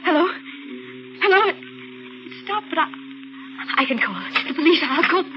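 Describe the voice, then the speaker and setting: A woman's voice: very high voice, animated, normal speed. A woman playing a frightened, trapped woman in a 1940s radio thriller, hesitating and repeating herself anxiously. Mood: rising fear. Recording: muffled vintage radio, loud music behind.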